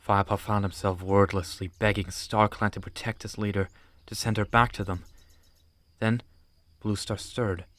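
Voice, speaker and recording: A man's voice: on the low side, level tone, normal speed, a bit bright, no echo. A man reading scripted audiobook narration of an animal adventure story.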